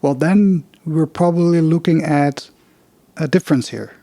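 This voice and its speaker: A man's voice: fairly high pitch, a little slow, warm tone, no room sound. A man with a Dutch accent hosting a loose, unpolished podcast, talking through charts with many fillers and restarts. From Netherlands. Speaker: podcast host. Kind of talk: casual investing podcast with chart walkthrough.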